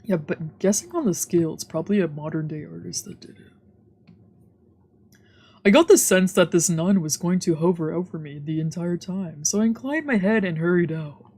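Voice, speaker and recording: A woman's voice: on the low side, lively intonation, normal speed, warm tone, slightly rough. A woman streamer reading a visual novel aloud and chatting casually as she plays. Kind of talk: read-aloud narration with casual let's-play chat. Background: faint game music.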